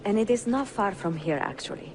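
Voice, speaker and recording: A woman's voice: mid-range voice, lively intonation, a little fast, slight background noise, close to an everyday voice. An actress playing a cultured, playful young Italian woman in a video game, articulate and curious, with an Italian accent. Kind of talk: video game cutscene acting. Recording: music behind the dialogue.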